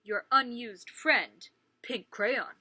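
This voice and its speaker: Woman as a sassy, bright pink crayon. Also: fairly high pitch, slow pace, full character voice. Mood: sassy, miffed. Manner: assertive, sassy. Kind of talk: children's storybook read-aloud.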